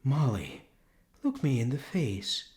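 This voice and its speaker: A man voicing a stern, concerned motherly horse in a storybook, speaking in a grave, deliberate way. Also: mid-range voice, wildly swooping pitch, darkish tone, voice pushed up. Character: stern, concerned motherly mare confronting a friend. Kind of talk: dramatised storybook reading.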